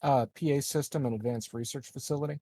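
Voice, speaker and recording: A man's voice: medium pitch, steady tone, fast, warm tone, no room sound. A man playing a tabletop roleplaying game, chatting casually and reasoning out choices in a tentative way. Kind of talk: casual chat.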